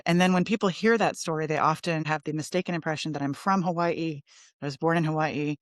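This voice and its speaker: A woman's voice: low voice, steady tone, fast talker, no room sound. Podcast guest: American woman, middle-aged, conversational storyteller, candid and informal, dry close recording. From Texas, United States. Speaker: podcast guest, lawyer and coach.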